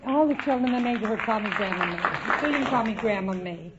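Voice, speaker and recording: A woman's voice: fairly high pitch, animated, quick pace, very noisy. An older American woman from Wisconsin chatting as a contestant on a radio quiz. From Wisconsin, United States. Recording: dull radio recording, audience behind.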